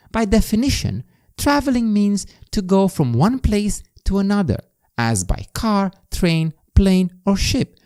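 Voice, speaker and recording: A man's voice: high-pitched, warm tone. A man with a Romanian accent, a native Romanian speaker, hosting a podcast in an emphatic, scripted self-improvement monologue that addresses the listener directly. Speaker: podcast host.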